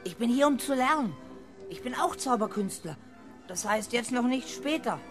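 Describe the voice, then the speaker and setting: An actor playing an eager, cocky village boy in a children's radio play, earnest and excitable. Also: extremely high pitch, normal speed, faint music behind. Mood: earnest, proud. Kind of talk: 1970s German children's radio play acting.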